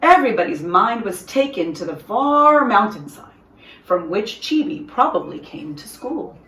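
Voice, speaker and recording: A woman reading a children's story aloud in an expressive storyteller's style, with deliberate, dramatic phrasing for young listeners. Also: mid-range voice. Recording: roomy echo, distant from microphone.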